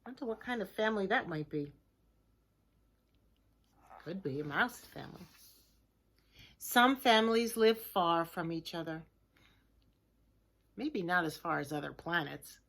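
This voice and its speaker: A woman reading a children's picture book aloud like a teacher, in a deliberate, expressive way, with hushed chatty asides to her listeners. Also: fairly low pitch, vocal fry. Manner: slow, expressive, simple deliberate phrasing.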